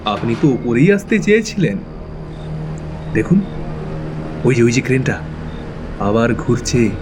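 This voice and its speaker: An actor playing a coolly menacing young avenger, deliberate and mock-polite, in an audio thriller. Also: male voice, medium pitch, very animated, background noise. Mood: cold taunting. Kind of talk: dramatic audio thriller acting.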